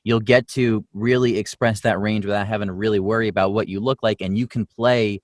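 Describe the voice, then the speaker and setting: A man's voice: lowish voice, steady tone, a little fast, dry recording, studio quality. A man, a voice actor, chatting casually on a panel in a rambling, laid-back way.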